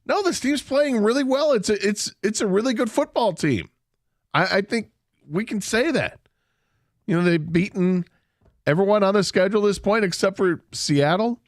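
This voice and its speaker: A man co-hosting a sports radio show, who weighs his points calmly with a few fillers. His voice sits a touch high, and the studio sound is dry and close. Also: no room sound. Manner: measured, analytical, with fillers. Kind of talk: casual sports talk.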